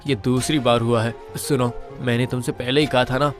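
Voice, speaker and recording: A man's voice: medium pitch, fairly even intonation, brisk, soft background music, close to an everyday voice. A man voicing a kindly older master and father in a cartoon, fretful and concerned. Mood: alarmed. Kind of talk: Hindi moral-story cartoon dialogue.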